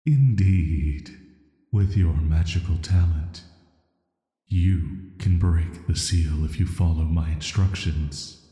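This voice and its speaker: Man voicing an extremely slow, deep banished villain. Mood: calm, intrigued. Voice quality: soft, low voice. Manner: flat, slow, deliberate, intimate. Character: banished cursed male villain, ancient, softly flirty and dangerous. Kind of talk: atmospheric audio roleplay, sleep aid. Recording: echoey voice with long reverb.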